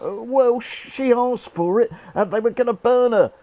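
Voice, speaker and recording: An actor playing a cheerful, irreverent rough soldier's ghost in a radio play, talking in jaunty, colloquial speech. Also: male voice, very high-pitched, a little slow, dark tone. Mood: jolly, unrepentant. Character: cheeky, cheerful old-soldier ghost, rough common man. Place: actor's home.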